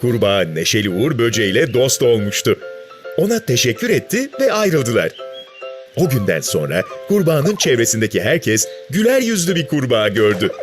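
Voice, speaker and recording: Male narrator, children's story, animated intonation, mid pitch, background music. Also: a little fast.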